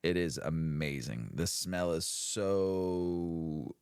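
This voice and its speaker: A man's voice: deep voice, very slow, a little mellow, smooth, no room sound, studio quality. A man co-hosting a casual podcast, chatting in a laid-back, rambling way with lots of fillers. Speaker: podcast co-host.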